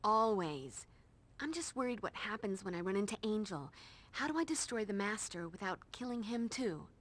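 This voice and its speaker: A woman's voice: mid-range voice, big pitch swings, normal speed. An actress playing a quippy, confident young female vampire slayer in a video game, with an American accent. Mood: worried. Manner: quippy, sarcastic. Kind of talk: video game cutscene dialogue. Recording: music behind the voice.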